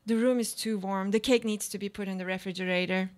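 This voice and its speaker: A woman playing a weary, cynical aging housewife in a deliberate, declamatory radio play monologue. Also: mid-range voice, steady tone, lightly acted. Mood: exhausted. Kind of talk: live radio play monologue, read from a script.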